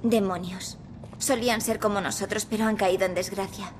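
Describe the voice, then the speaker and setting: A woman's voice: mid-range voice, steady tone, moderate pace, noisy background, close to an everyday voice. Woman playing a cryptic ghost guide, faint ambience. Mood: calm, instructive. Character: cryptic, stern female ghost guide, calm and knowing. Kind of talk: video game cutscene acting in Spanish dub.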